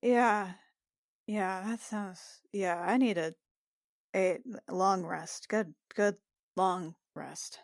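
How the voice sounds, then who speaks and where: A woman speaks at a middling pitch in a dry, close recording. She is a player in a tabletop game, talking casually and bantering about the action. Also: fairly even intonation, drawn-out words, no echo. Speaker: player in a tabletop roleplaying podcast.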